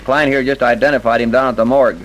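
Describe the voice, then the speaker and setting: An actor playing a tough, wisecracking homicide detective with an American accent, blunt and slangy, in an old radio crime drama. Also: male voice, mid-range voice, fairly even intonation, fast talker, lightly acted. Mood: cool, probing. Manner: hard-boiled, blunt, slangy.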